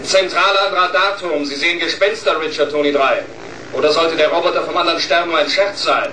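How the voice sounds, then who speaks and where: A man's voice: fairly high pitch, fairly quick, sharp tone. A man playing a stern, skeptical control centre dispatcher in a radio play, speaking in clipped official calls. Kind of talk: radio-communication acting in children's radio play. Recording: distant echoing radio call, faint noise.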